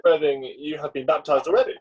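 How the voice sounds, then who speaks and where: A man's voice: on the high side, steady tone, fast talker, natural voice. A man playing a kindly, pompous Victorian clergyman with solemn, formal delivery in an amateur play reading. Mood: solemn, obliging. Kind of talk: amateur play read-through. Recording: dull, lo-fi video call audio.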